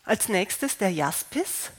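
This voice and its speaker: A woman with a slight cold calmly explaining how to use healing stones, unhurried, with fillers and restarts. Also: mid-range voice, slightly rough. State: slight cold, clearing throat. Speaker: gemstone healing presenter.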